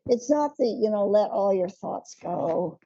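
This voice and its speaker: A woman speaks reflectively on a panel, with many fillers. Her voice is husky and dark. The event recording sounds dull and slightly lo-fi. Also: medium pitch, expressive, a little slow.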